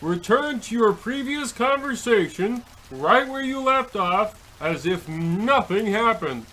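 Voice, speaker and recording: A man's voice: high voice, lively intonation, slow, bright, forceful tone, heavily acted, poor audio. A man playing a pompous, self-important millionaire businessman with stilted delivery in an old-time radio comedy.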